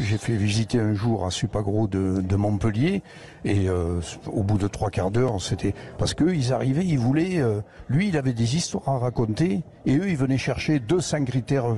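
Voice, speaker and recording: A man's voice: on the low side, mellow, slight background noise. A man with a Lozère accent, an agricultural educator telling rambling anecdotes as a guest in a live radio interview broadcast from a museum. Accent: Lozère, southern France.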